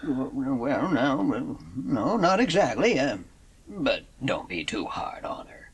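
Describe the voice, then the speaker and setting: A man playing a gentle, shy old farmer in a dramatised audiobook, speaking in a halting, mild way. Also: mid-range voice, very animated, slightly rough, heavily acted.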